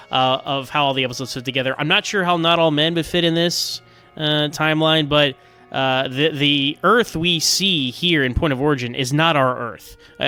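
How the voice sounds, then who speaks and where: A man's voice: medium pitch, pushed and bright. A man giving casual, opinionated fan commentary as a podcast listener, chatty and circling back over his phrases.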